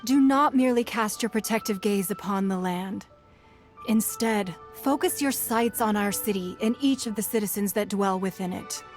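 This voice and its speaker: A poised woman leader of a fantasy game city, voiced by an actress. She speaks formally and diplomatically in a mid-pitched voice, measured and shrewd, with music playing underneath. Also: natural voice. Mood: composed, diplomatic. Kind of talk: scripted fantasy game dialogue.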